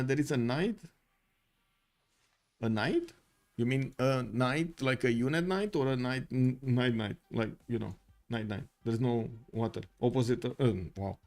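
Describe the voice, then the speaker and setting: A man's voice: mid-range voice, a little mellow. A man casting a strategy game live, reading chat and thinking aloud with lots of fillers; English is not his first language. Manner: casual, chatty, thinks aloud with many fillers. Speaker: livestream caster.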